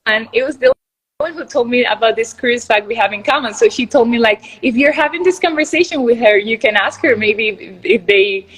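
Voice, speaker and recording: A woman's voice: fairly high pitch, fairly quick, slightly rough, poor audio. Upbeat young Venezuelan woman chatting. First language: Spanish. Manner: chatty, upbeat, many fillers and restarts. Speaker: host interviewer. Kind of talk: casual video interview.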